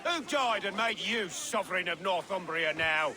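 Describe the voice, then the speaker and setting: A rough village man in a game cutscene, his voice pitched high and tense. Outdoor ambience hums loudly behind him. He talks with rustic, unpolished grammar. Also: expressive, moderate pace, background noise. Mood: defiant, then nervous. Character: nervous rough male villager backing down. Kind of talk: video game cutscene acting. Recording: game audio over loud outdoor ambience.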